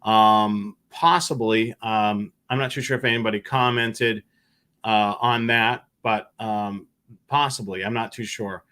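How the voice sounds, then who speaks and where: Guy doing a casual livestream, bright forceful voice, kinda rambly and hesitant. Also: on the low side, steady tone, measured pace, clean tone. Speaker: host of a scanner radio live show. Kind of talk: casual live stream talk, answering viewer questions.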